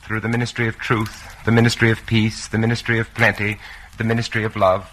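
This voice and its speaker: A man's voice: fairly low pitch, little pitch movement, fairly quick, a bit bright, a little hiss or hum, natural voice, low-quality recording. A man playing an obedient, uneasy party worker in a mid-century radio drama, answering in a guarded, dutiful way. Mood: dutiful, submissive.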